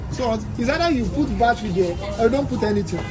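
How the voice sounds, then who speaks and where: Nigerian guy teaching a tech class, repeats stuff for emphasis, loud music and street noise behind him. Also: very high-pitched, animated, fast, over a noisy crowd. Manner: explanatory, emphatic, uses worked examples and repetition. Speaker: instructor. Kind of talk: technical classroom lesson.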